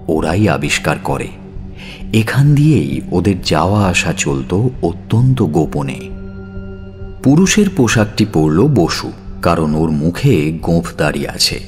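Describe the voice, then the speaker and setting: A male narrator with a low voice tells a dramatic story over soft background music. He takes his time, letting suspenseful pauses hang in a theatrical, scripted delivery.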